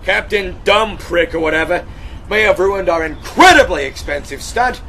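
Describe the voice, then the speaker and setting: A man's voice: high-pitched, expressive, moderate pace, bright, forceful tone, a little hiss or hum, exaggerated voice. A man playing a brash, hotheaded, slangy extreme sports show host in a comedic audio play. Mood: cocky.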